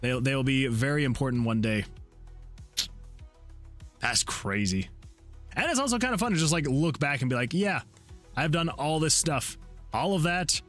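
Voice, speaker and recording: A man hosting a game development livestream, chatting casually with viewers and rambling excitably as he thinks out loud. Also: mid-range voice, moderate pace, pauses often. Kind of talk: casual chat with stream viewers while working. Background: background music.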